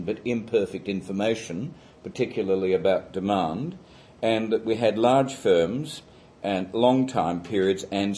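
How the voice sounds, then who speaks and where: An older man speaks into a microphone in a seminar room, his voice pitched on the low side. He lectures loudly and deliberately. His sentences are dotted with ums and hesitant restarts. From Australia. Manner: loud, deliberate, hesitant with many fillers. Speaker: guest lecturer.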